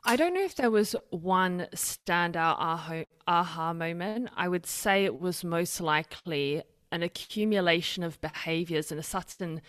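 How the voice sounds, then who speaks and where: Guest, woman, 30s, mixed Australian-British accent, lowish voice, candid reflective storyteller. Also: normal speed, hardly any pauses, fairly bright tone. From Australia and Britain. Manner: open, reflective storytelling with frequent restarts. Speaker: podcast guest. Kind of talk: conversational interview.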